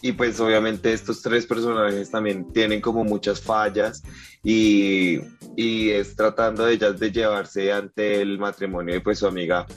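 A man co-hosting a casual podcast, hoarse and congested, with a campy, playful way of talking. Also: fairly low pitch, little pitch movement, unhurried, soft background music. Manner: campy, playful, uses feminine slang. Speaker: podcast co-host.

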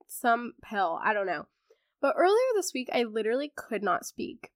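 Teenage girl, congested voice, high-ish pitch, sarcastic casual podcast chat, dry close sound. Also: very animated, moderate pace, no echo. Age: teens. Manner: chatty and sarcastic, many fillers. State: congested, recovering from laryngitis. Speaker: podcast host.

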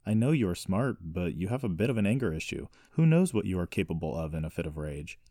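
A man playing a calm young man in an audiobook story, placating but firm as he reasons. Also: sits low, fairly quick, dry recording, clean studio sound, full character voice. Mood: firm, reasoning.

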